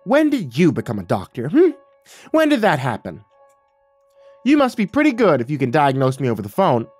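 A man's voice: on the high side, sing-song, a little fast, darkish tone, dry recording, soft background music, voice pushed up. A man voicing an older mother who is passive-aggressive, pouty and pointed, in a dramatized story. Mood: cold, sarcastic. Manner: accusatory, guilt-tripping, pointed.

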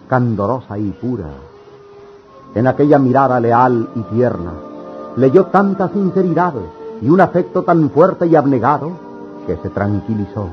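A man narrating a scripted radio serial in a literary, declamatory manner. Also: medium pitch. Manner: declamatory, dramatic. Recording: dull lo-fi radio sound.

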